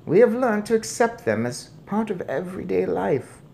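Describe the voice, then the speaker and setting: A narrator voicing an old Norwegian grandmother who is calm, measured and mischievous, in a children's storybook. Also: mid-range voice, vocal fry, slight background noise, voice pushed up. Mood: soothing. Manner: measured, unruffled, matter-of-fact.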